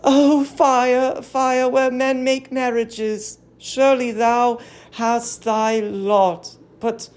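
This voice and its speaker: A woman's voice: a little high, flat delivery, extremely slow, darkish tone, clear, resonant tone. A woman playing a sorrowful, commanding old queen mother in a classical tragedy, declaiming her lament. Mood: anguished lament. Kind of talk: classical tragedy dramatic reading.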